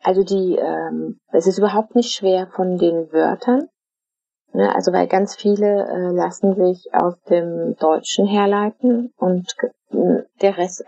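A middle-aged woman from Germany, answering interview questions in a casual, thoughtful way, with many fillers and pauses. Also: lowish voice, vocal fry, dark tone, slightly rough. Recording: dull, dry lo-fi recording.

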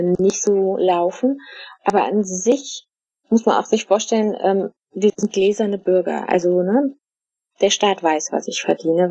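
A middle-aged woman from Germany, answering interview questions in a casual, thoughtful way, with many fillers and pauses. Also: mid-range voice, warm tone, husky, no room sound. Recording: dull, dry lo-fi recording.

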